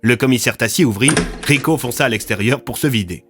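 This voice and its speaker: A male narrator reads a scripted fiction serial in a storyteller's style. His voice sits at a medium pitch, with music playing behind it. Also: a little fast.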